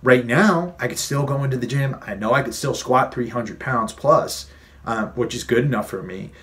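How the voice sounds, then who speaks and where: A man's voice: medium pitch, normal speed, a bit bright. Earnest man in his late thirties. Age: 30s. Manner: earnest, conversational, repeats phrases for emphasis. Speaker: fitness coach and vlog host. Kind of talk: casual advice vlog.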